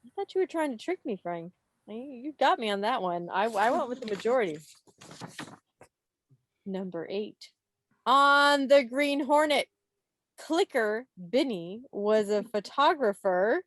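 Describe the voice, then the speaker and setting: A woman hosting a club quiz on a video call, reading questions and answers in an expressive, playful way with casual asides. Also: fairly high pitch, unhurried. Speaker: quiz host at a club meeting. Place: online video meeting. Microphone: video call microphone.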